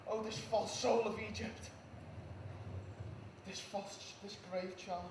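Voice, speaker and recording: Guy doing a betrayed roman general, high voice, impassioned shakespeare stage speech, echoey and far off. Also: flat delivery, slow pace. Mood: despairing. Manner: impassioned, declamatory. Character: betrayed, despairing Roman general raging at defeat. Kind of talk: classical Shakespeare monologue, student stage recital. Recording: distant echoey room with background noise.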